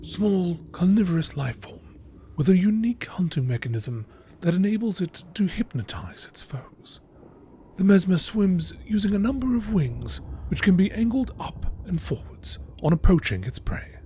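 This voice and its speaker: Male narrator, nature documentary read, formal and deliberate with lively intonation, faint noise behind. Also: sits high. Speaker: narrator reading game lore entries.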